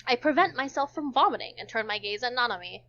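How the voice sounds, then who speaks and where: Female amateur narrator for a game stream, casual reader, bright and slightly high, muffled recording. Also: animated, fast. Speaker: host and narrator of a visual novel stream. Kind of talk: amateur read-aloud narration with casual asides.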